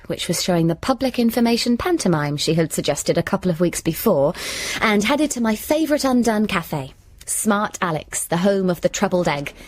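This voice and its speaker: Actress playing puzzled woman narrator, wry, musing, medium pitch, radio drama. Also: darkish tone, close to an everyday voice.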